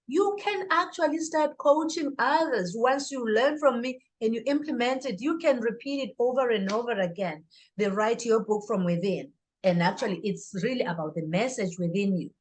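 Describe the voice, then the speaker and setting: A woman's voice: fairly high pitch, a little mellow. A woman speaking as an interview guest, a life coach and author, in an earnest, motivational way. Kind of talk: interview answer.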